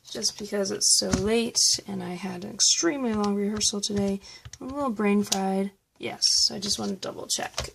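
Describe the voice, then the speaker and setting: Gravelly-voiced woman math teacher. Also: mid-range voice, slight background noise. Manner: patient, step-by-step explaining. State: slightly hoarse, losing her voice. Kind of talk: recorded math review lesson.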